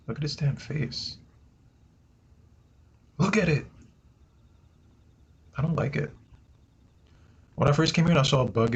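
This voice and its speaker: Man, naturally low voice, gravelly edge, casual game streamer, chatty, dull lo-fi mic with faint hiss. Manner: chatty, says "right?" and "guys" often. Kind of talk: casual gameplay commentary. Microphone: desk mic he moves around.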